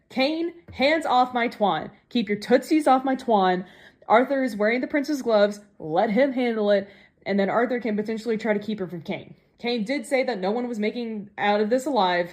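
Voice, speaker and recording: A woman delivering a prepared fan theory message in a playfully theatrical, mock-dramatic manner. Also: on the high side. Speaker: podcast listener sending a voice message.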